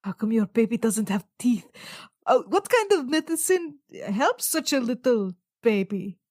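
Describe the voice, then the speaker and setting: Woman playing an awkward, halting little blue fish man. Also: a little high, very animated, darkish tone, no echo, clean studio sound. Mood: clueless curiosity. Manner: halting, stammering, fumbling. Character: awkward tiny blue fish man adventurer, clueless, feigning politeness.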